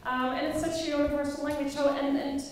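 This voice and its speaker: Echoey, higher-pitched Dutch woman telling a story. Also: fairly even intonation, quick pace, darkish tone. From Netherlands. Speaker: conference speaker, play facilitator. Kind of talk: personal storytelling talk to a live audience. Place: echoey indoor conference room. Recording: very echoey room, faint background noise.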